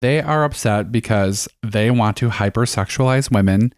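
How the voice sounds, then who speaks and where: A man's voice: on the low side, moderate pace, no room sound. A young man, co-hosting a casual podcast chat with dry, sarcastic humor. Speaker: podcast co-host.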